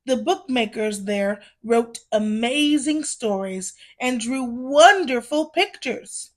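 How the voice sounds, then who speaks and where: A woman reads a children's story aloud, narrating expressively and deliberately for young listeners. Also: fairly high pitch, lively intonation.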